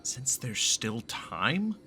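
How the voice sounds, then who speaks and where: Casting: male game streamer, lively swooping intonation, bright forceful tone, blunt and sweary, faint music behind. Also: medium pitch, drawn-out words. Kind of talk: casual gameplay reaction commentary.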